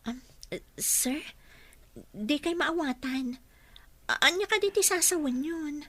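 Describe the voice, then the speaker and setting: Bashful young office clerk, radio drama: high, bright voice, polite, Ilocano accent. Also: female voice, expressive, takes it slowly, no echo, heavily acted. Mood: flustered, bashful. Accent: Filipino, Ilocano. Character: modest young female clerk, bashful and polite with her boss.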